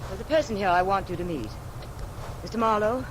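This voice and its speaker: A woman's voice: medium pitch, expressive, brisk, lightly acted. An actress playing an indignant, commanding wealthy lady in a 1950s radio detective drama, on an old broadcast. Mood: imperious. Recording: old radio broadcast under loud truck noise.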